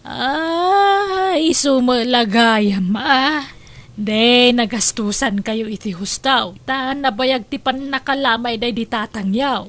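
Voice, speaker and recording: A woman voicing a tired, guarded pregnant wife in an audio drama, speaking in a measured way. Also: a little high, a bit bright. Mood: chatty. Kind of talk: Ilocano audio drama acting.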